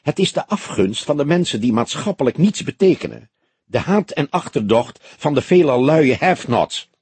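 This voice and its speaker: A man's voice: mid-range voice, very animated, normal speed, darkish tone, husky, no echo, pitched above the performer's own voice, exaggerated voice. A man voicing an arrogant, venomous businessman in his thirties in an audiobook, snappy, impatient and haughty. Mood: haughty, indignant. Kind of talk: Dutch audiobook character dialogue.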